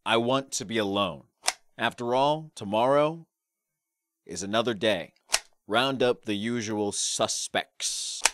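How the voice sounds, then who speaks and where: A young man performing classic movie characters in short, deadpan, theatrical one-liners. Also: fairly low pitch, slow pace. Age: young adult. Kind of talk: amateur impressions of film quotes. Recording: clearly noisy background with clicks.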